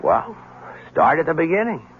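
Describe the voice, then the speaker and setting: A man's voice: fairly high pitch, very animated, moderate pace, husky, exaggerated voice. An American man acting in 1970s radio drama as a brash, dogged reporter with a wry, punchy way of questioning. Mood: defensive. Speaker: actor. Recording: muffled vintage radio broadcast.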